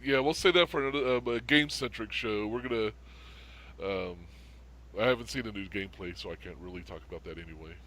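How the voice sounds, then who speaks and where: A man's voice: lowish voice, fast, fairly bright tone, slight background noise. A man co-hosting a casual online pop culture chat show, laid-back and wry. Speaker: podcast co-host.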